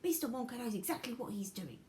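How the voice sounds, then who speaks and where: A woman's voice: a little high, lively intonation, fast, dark tone, pitched above the performer's own voice, natural voice. A woman voicing a firm, loyal old grandfather from a storybook, speaking in an admonishing way. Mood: firm, scolding.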